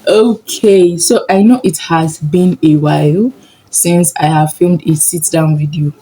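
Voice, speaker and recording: Young woman, Nigerian accent, low voice, mellow tone, chatty sit-down vlog. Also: fairly even intonation, unhurried, poor audio. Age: young adult. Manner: chatty, upbeat, laughs often. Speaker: vlogger, medical student.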